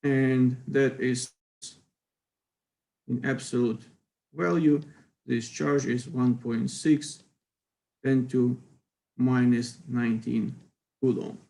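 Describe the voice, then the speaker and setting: A man's voice: mid-range voice, fairly even intonation, slow, creaky, pauses often, dark tone. A middle-aged man from Ukraine, giving a university physics lecture in a hesitant way, with many fillers and careful phrasing.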